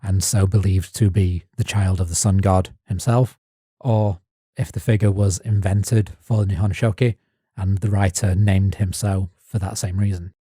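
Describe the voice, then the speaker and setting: A man co-hosting a podcast, reading his prepared notes as measured narration. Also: deep voice, flat delivery, warm tone, husky, dry recording, clean studio sound. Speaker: podcast co-host. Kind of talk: scripted narration.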